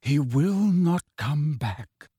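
Man playing a gentleman ghost, slow, formal, mournful, resigned, wistful, dry close sound, audiobook character. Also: on the high side, big pitch swings, no echo. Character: courteous, melancholy old gentleman ghost.

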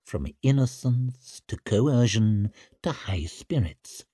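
A man's voice: mid-range voice, expressive, takes it slowly, dark tone, breathy edge, no echo. A man voicing a dry, contemptuous, toothless old official in an audiobook, with a sardonic, disdainful manner.

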